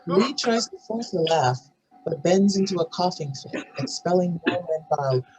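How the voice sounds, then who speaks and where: Mid-pitched narrator reading stage directions. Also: animated, moderate pace, darkish tone, rough recording. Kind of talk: scripted screenplay narration. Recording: faint background noise and room ambience.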